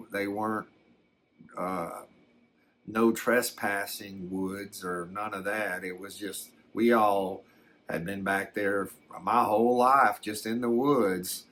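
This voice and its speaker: A man voicing a plainspoken, folksy fellow recounting a strange encounter in halting, rambling, unhurried ASMR storytelling. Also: fairly low pitch, fairly even intonation, fairly bright tone. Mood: musing, matter-of-fact. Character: folksy man recounting his alien abduction.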